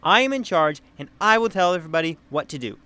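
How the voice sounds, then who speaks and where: A man's voice: a little high, lively intonation, fast talker, bright, forceful tone, clear, resonant tone. A man acting a harried, bossy young man in a comedic audio drama, earnest and exasperated.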